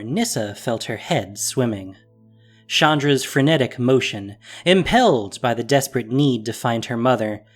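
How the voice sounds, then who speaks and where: A man reading scripted fantasy story narration as the narrator of an audio drama. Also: mid-range voice. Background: music.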